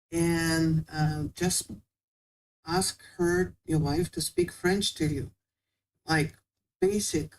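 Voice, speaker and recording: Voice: mid-range voice, darkish tone, poor audio. A guest patiently explaining how to learn a language in a casual interview, with small non-native grammar slips.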